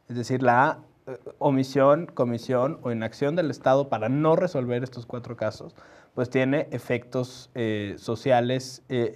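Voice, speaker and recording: Mexican man, mid-pitched, measured and methodical panelist laying out points in order. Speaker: panelist from a press freedom organization. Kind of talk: explanatory panel talk.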